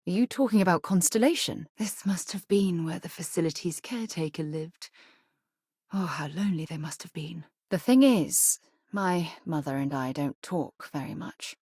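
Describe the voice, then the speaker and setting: A woman's voice: deep voice, darkish tone, breathy edge, no room sound, studio quality. An actress playing an earnest, principled woman who leads space explorers in a video game, speaking articulately and with composure. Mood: reflective, earnest. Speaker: voice actress for a game companion character. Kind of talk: acted video game dialogue lines.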